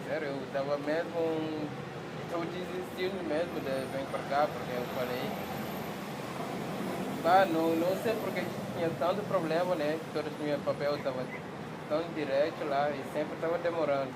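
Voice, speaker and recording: A man's voice: on the high side, steady tone, normal speed, heavy background noise. A man telling his story in an informal interview, with an exasperated storytelling manner. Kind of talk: interview testimony.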